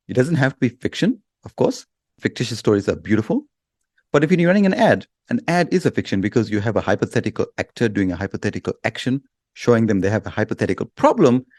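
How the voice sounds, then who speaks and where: A man's voice: on the low side, darkish tone, no room sound, clean studio sound. A man speaking as an expert guest in an interview, explaining with examples in a blunt, emphatic way.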